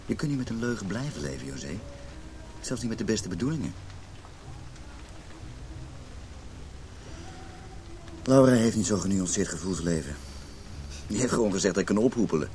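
A man's voice: lowish voice, lively intonation, fast talker, dark tone, lightly acted. An actor in a Dutch radio drama playing a brooding, wounded, intense man in a failing marriage. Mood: resigned. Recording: noisy radio play recording.

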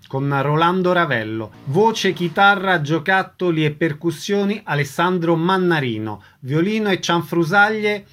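A man reading radio credits in a measured, formal announcing manner. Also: on the high side, fairly bright tone.

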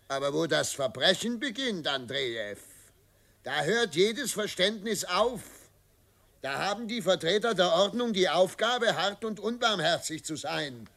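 Pompous, self-assured middle-aged governor. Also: male voice, on the high side, gravelly, fairly bright tone, heavily acted. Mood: stern, emphatic. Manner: measured, declamatory, pompous. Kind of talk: German radio drama acting.

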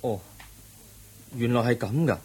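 An actor playing a polite, earnest young seeker in a radio drama, asking questions courteously and expressively. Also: male voice, mid-range voice, measured pace, darkish tone, husky, poor audio. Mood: curious. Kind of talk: Cantonese radio drama acting.

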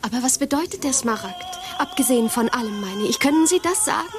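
A woman's voice: on the high side, expressive, fast, music in the background, natural voice. An actress playing a curious woman in a radio play who keeps echoing and probing what she hears. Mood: inquisitive. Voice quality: echoing, inquisitive.

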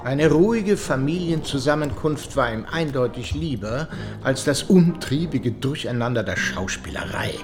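A man playing a stern, dignified English baronet and father in a radio drama, speaking formally and with measured reproach. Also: mid-range voice, lively intonation, over music, natural voice. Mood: measured, firm. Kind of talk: literary radio drama acting.

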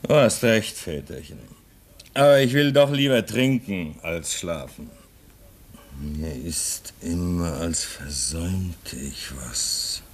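A man's voice: deep voice, animated, slow, a little hiss or hum. An actor in a German radio play as a homesick, restless man at a polar station, brooding and theatrical, swinging between muttering and outcry. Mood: restless, defiant.

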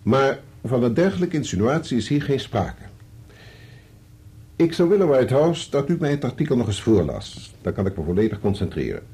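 An actor playing a measured senior lawyer in a radio play, formal and deliberate as he explains. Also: mid-range voice, sing-song, dark tone. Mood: measured, authoritative. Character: measured male senior lawyer giving sober legal advice.

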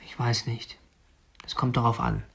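Radio drama: prim young abductor, obsessive, husky voice, stiff and matter-of-fact delivery. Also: male voice, medium pitch, very animated, measured pace, fairly bright tone, no echo, full character voice. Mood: hesitant, guarded. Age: 20s. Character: prim, obsessive young male kidnapper, narrating his deed. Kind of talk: radio drama narration and dialogue.

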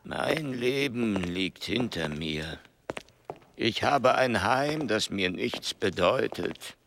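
Radio drama role: ageing male scholar, weary and musing, unhurried delivery with wide rises and falls, noisy recording. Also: lowish voice, lively intonation. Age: older. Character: ageing male scholar, world-weary, bitter about family, oddly light. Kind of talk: German radio play acting.

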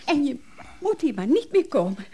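Fed-up woman in a radio drama, swooping high voice, cutting, reproachful. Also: sing-song, normal speed, warm tone. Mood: indignant. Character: angry, fed-up woman quarrelling with her man.

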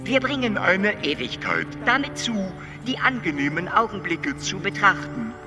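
Radio drama alien: high voice, calm and detached explainer with a glitchy stammer, music underneath. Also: male voice, moderate pace. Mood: calm, matter-of-fact. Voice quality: stuttering, glitchy repeated syllables. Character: detached, matter-of-fact stammering alien being.

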